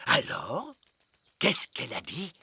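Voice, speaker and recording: A man's voice: medium pitch, wildly swooping pitch, slow pace, pushed and bright, husky, dry recording, heavily acted. A man voicing a pompous, fussy middle-aged fairy king in a children's cartoon, commanding and easily exasperated, with noise behind him. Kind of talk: animated children's cartoon dub.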